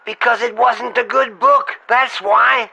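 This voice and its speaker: Man acting a blunt talent agent, foul-mouthed, bright forceful voice, creaky, sarcastic, comedy sketch. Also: very high voice, fairly even intonation, a little slow, heavily acted. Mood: nagging. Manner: blunt, scolding, sarcastic, talking over the other. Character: exasperated, foul-mouthed male talent agent scolding his client. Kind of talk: comedic mock phone-call sketch.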